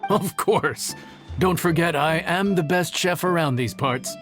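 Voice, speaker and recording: A man's voice: fairly high pitch, measured pace, natural voice. A man playing a confident, affable young inn chef in a video game cutscene. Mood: cocky. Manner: affable, polite, earnest. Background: music.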